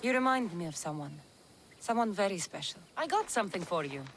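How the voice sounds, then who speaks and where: A woman's voice: mid-range voice, animated, fairly quick, slight background noise, close to an everyday voice. A woman voicing a confident, dry-witted mercenary hero. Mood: wry.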